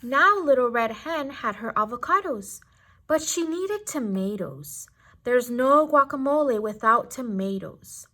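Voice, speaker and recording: A woman reading a children's story aloud with expressive, deliberate and dramatic phrasing. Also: on the high side. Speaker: storybook reader.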